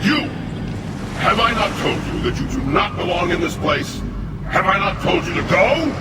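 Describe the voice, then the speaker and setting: An actor playing a rigid, menacing prison warden, declamatory and officious, in a scripted video game. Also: male voice, high voice, very animated, moderate pace, roomy sound, very noisy. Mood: angry, threatening. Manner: declamatory, officious, sharply emphatic. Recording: loud music behind the voice.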